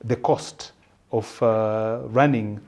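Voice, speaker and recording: A Kenyan man with a fairly low, husky voice answers as an interview guest. He explains in a measured, halting way, often pausing to find the right word. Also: fairly even intonation, darkish tone. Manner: halting, measured, searching for words. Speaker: interview guest, public policy analyst.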